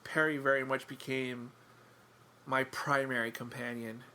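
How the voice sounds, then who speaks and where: A man's voice: medium pitch, level tone, a little slow, bright, forceful tone, a little hiss or hum. A man chatting casually as a podcast guest, wry and self-deprecating, rambling with lots of fillers. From England.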